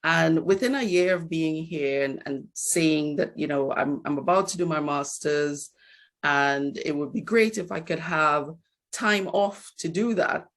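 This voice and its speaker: A woman's voice: low-pitched, unhurried. A woman in her forties from Trinidad and Tobago, an HR executive giving a reflective personal talk at a launch event. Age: 40s. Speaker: guest speaker, HR executive.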